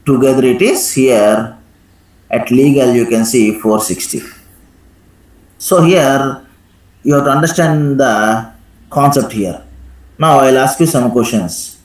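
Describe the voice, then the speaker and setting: An echoey recording of a man with a medium-pitched voice leading a live software lesson. He gives step-by-step instructions in a teacherly way and often stops to check that listeners follow. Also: slow, long pauses. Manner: instructive, frequently checks with "right?". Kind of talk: live screen-share software tutorial with questions to students. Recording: echoey room sound.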